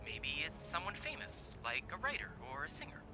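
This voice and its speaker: A good-natured, bookish young fellow in a mystery game. His voice sits slightly high and moves expressively as he explains, with clear music underneath. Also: wildly swooping pitch, normal speed, pushed and bright, heavily acted. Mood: helpful, defensive. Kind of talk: conversational video game acting.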